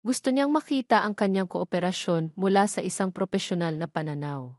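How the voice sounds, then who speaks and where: A woman with a Filipino accent reads a scripted story recap as narrator, phrase by phrase in a measured way. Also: fairly low pitch, a little mellow, dry recording, studio quality.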